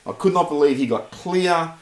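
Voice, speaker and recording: A man's voice: fairly high pitch, normal speed, reverberant. A man with an Australian accent co-hosting a casual sports podcast, blunt and rambling, with some swearing. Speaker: podcast co-host.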